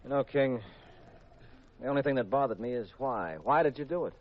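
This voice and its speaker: An American actor playing a shrewd, composed detective in an easygoing, pointed storytelling style for a 1950s radio mystery. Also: male voice, mid-range voice, fairly quick, close to an everyday voice. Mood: probing. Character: shrewd, composed male amateur detective, husband. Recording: muffled, dull 1950s radio recording.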